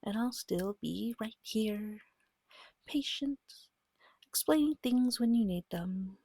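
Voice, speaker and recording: Woman, soft-spoken ASMR game master, higher-pitched breathy voice, creaky edges, gentle and reassuring, close mic. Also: expressive, no echo. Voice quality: breathy, often slipping into whisper. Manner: gentle, patient, reassuring, slow and deliberate. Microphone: close microphone.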